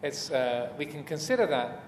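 A man's voice: on the high side, lively intonation. Reflective man teaching in an echoing hall. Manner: measured, reflective, pausing often between short phrases. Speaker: Buddhist monk teacher giving a dharma talk. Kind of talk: unscripted dharma talk. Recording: echoey reverberant hall with background noise.

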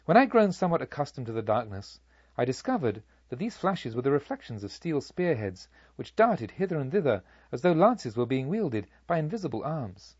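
A man narrating a literary story as an audiobook, with expressive, deliberate and dramatic phrasing. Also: medium pitch, breathy edge, dry recording, muffled recording.

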